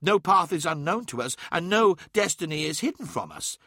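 A man's voice: on the high side, sing-song, normal speed, bright, forceful tone, dry recording, studio quality, pitched above the performer's own voice, exaggerated voice. A man voicing an anguished male sorcerer who pleads urgently and fiercely defends his home. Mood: defiant. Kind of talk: audiobook character voice.

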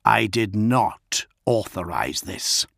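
A man voicing a proud, earnest knightly commander in an audiobook, speaking with measured, insistent intensity. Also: fairly low pitch, expressive, vocal fry, fairly bright tone, no room sound, studio quality, full character voice. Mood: urgent, earnest. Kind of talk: audiobook character acting.

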